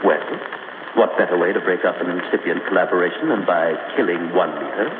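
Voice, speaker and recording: An actor playing a gloating criminal mastermind with mock-polite, measured menace, in 1940s radio style. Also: male voice, low voice, close to an everyday voice. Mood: smug, cold. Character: gloating, coldly courteous male criminal mastermind. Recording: noisy, echoing, tinny 1940s radio recording.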